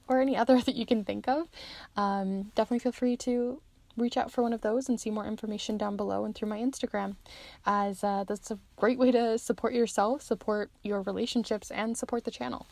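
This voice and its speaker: A woman's voice: mid-range voice, creaky, darkish tone, no room sound. A woman giving a casual, chatty tarot reading to viewers in an encouraging, informal way, her voice starting to give out. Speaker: host, tarot and charm reader. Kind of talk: casual pick-a-card reading, addressing viewers.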